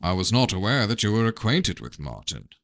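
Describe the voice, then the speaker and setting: A man's voice: on the low side, big pitch swings, brisk, vocal fry, a bit bright, full character voice. A man voicing a calculating, evasive prince in a novel reading, with guarded, formal phrasing. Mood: coolly unrepentant.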